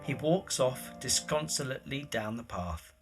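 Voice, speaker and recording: A man's voice: mid-range voice, animated, unhurried, music in the background. A man narrating the scenes of an audio drama from a script.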